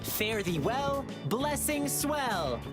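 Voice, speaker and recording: A performer voicing a gentle, earnest young lion-dancer beast boy in a video game. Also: medium pitch, big pitch swings, drawn-out words, over loud music. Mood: sincere, kindly. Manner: earnest, kindly.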